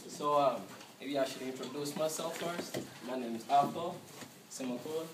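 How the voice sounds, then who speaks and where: Halting Ugandan man in a noisy, echoey room. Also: on the high side, distant mic. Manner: halting, repeats words as he goes. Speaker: student presenter. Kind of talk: informal spoken presentation to a class. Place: classroom.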